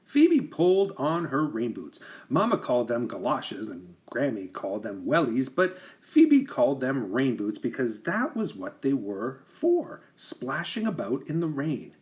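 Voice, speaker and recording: A man reading a children's picture book aloud like a teacher, with expressive, deliberate emphasis for young listeners. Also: medium pitch. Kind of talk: expressive children's storybook narration.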